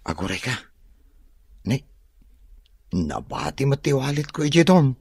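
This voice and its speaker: A man's voice: mid-range voice, very animated, a little slow, darkish tone, slightly rough, dry recording, full character voice. An actor playing an easygoing, teasing young male student in an Ilocano radio drama.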